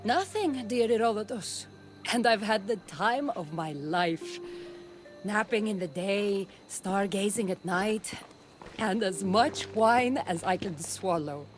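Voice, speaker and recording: A woman's voice: medium pitch, animated, slow. An actress playing a weary, sardonic mercenary woman in a video game cutscene, speaking casually. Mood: wry. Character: wry, weary Greek mercenary woman in her prime. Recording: music behind the voice. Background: music.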